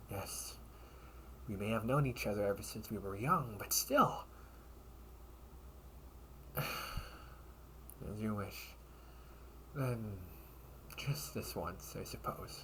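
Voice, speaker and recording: Man acting unhurried, low-voiced loyal young knight. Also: expressive, sharp tone, slight background noise, heavily acted. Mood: respectful, reluctant. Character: loyal young knight, gently teasing, caring childhood friend. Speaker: amateur audio roleplay performer. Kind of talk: intimate audio roleplay acting.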